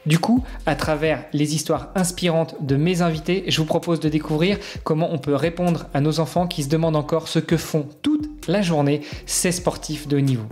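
A man's voice: a little high, steady tone, normal speed, hardly any pauses, a little mellow, soft background music. A man hosting a podcast, talking in an informal, chatty way. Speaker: podcast host. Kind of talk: podcast intro narration and casual interview chat.

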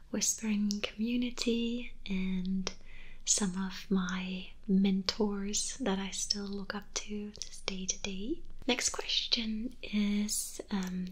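A Danish woman in her twenties, whose first language is Danish, softly whispering answers to viewer questions in an ASMR video from her bedroom. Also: medium pitch. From Denmark. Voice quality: breathy whisper. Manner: soft, slow, unhurried whispering. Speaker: ASMR creator. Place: bedroom, indoors.

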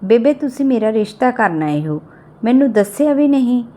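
A woman's voice: a little high, lively intonation, normal speed, mellow, lightly acted. Woman reading as an innocent village girl. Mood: shocked. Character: innocent, playful eighteen-year-old village daughter.

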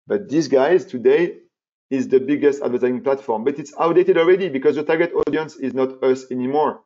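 A middle-aged man presents a business webinar over slides. His voice is mellow and a little dull, as through muffled webinar audio. He repeats key words for emphasis and often restarts his sentences. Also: mid-range voice, fairly quick. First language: French. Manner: repetitive, emphatic, with frequent fillers and restarts. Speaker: webinar presenter, marketing consultant.

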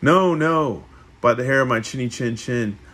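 A man's voice: medium pitch, moderate pace, fairly bright tone, lightly acted. A man voicing a young little pig from a storybook, with emphatic, chanted delivery. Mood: defiant.

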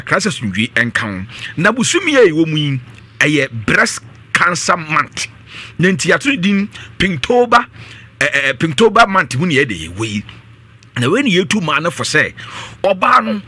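Male radio presenter, thirties, Ghanaian accent. High, bright voice, emphatic promotional delivery on a close studio mic. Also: dry recording. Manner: animated, emphatic, repeats phrases for emphasis. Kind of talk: live radio announcements and health-product promotion. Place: small radio studio indoors. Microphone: close broadcast studio microphone.

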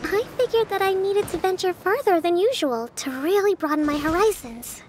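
A woman voicing a gentle, composed young female strategist in a video game, speaking softly and politely. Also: extremely high pitch, normal speed, noisy background. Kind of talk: animated video game voice acting. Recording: faint game ambience behind.

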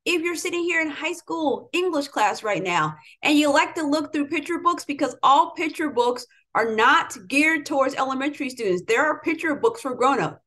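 A middle-aged American woman with a slightly high voice speaks as an educator to students. She delivers a motivational talk, leaning firmly on key phrases. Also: lively intonation, moderate pace, hardly any pauses, fairly bright tone. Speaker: educator, interviewer. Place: home room with bookshelves, indoors.

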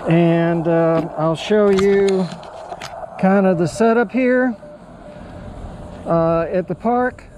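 An older American man with a fairly high voice narrates a casual vlog. He speaks slowly and haltingly, recorded outdoors on a handheld camera with faint noise around him. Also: darkish tone. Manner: halting, unhurried. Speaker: amateur radio operator and vlogger. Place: outdoors at a beachside park. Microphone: handheld action camera or phone at arm's length.

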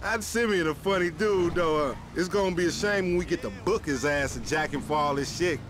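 A man's voice: a little high, fairly quick, close to an everyday voice. An actor playing a hot-headed young male gangster in a video game, boastful and profane, talking in slangy street speech. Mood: cocky. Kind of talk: video game cutscene acting. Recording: game sound effects and noise behind.

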